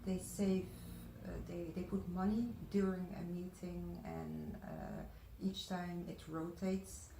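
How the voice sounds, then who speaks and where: Woman from the netherlands explaining stuff on a podcast, gravelly fry, pauses a lot, roomy sound. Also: lowish voice, lively intonation, dark tone. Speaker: guest, saving circles trainer. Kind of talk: explanatory interview answers. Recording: echoey room, faint background noise.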